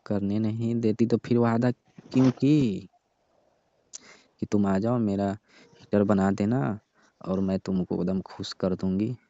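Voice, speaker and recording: A man's voice: lowish voice, fairly even intonation, a little slow, pauses often, dark tone, dry recording. A man playing a sulky, distrustful young repairman who grumbles and complains in a staged comic phone call. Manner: complaining, grudging. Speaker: heater repairman. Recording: dull, dry lo-fi phone recording.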